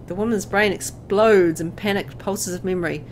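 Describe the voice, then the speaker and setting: A woman reading a horror visual novel aloud as dramatic, expressive narration, as host of a gameplay video. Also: medium pitch, moderate pace, a little hiss or hum, low-quality recording.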